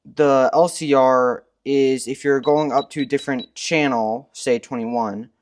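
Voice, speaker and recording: A man with a mid-range voice gives a casual technical explanation. He is measured and matter-of-fact, speaking as a co-presenter walking through the details. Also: medium pitch, fairly even intonation.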